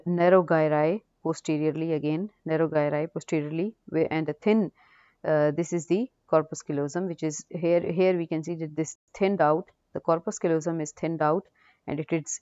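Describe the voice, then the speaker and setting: A woman with a low, mellow voice teaches as a radiology lecturer, talking through cases without a script. She explains hesitantly and repeats herself. The recording is dry and muffled. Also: fairly even intonation, moderate pace, no echo. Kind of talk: unscripted case-review lecture. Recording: dull, dry lo-fi audio.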